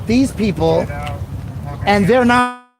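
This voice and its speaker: A protester insistently demanding an officer's name and badge number, repeating himself. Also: male voice, high-pitched, slow pace. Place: outdoors at a street protest. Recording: crowd noise behind.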